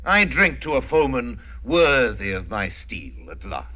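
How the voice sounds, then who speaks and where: An actor playing a suave, sinister aristocratic general who hunts men, urbane and mock-courteous, in 1940s radio drama style. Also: male voice, medium pitch, huge pitch swings, measured pace. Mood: silky menace. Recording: muffled old radio recording.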